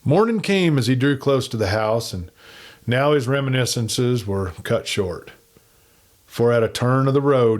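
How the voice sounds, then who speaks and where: A man reading a western novel aloud as an audiobook narrator, in an expressive, dramatic storyteller's manner. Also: on the low side.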